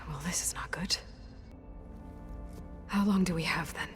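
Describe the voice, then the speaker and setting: An actress playing a determined, earnest young video game heroine who questions and reasons aloud in a natural, halting way. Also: female voice, low-pitched, long reverb tail. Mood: worried, reasoning. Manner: questioning, hesitant, thinking aloud. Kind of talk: naturalistic game cutscene dialogue. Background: music.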